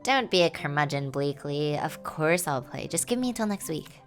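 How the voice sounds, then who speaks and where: A woman voicing a cocky, roguish young Regency gentleman gambler in slangy period speech, for a game. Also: low voice, expressive, moderate pace, soft background music, voice pushed down. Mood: defiant.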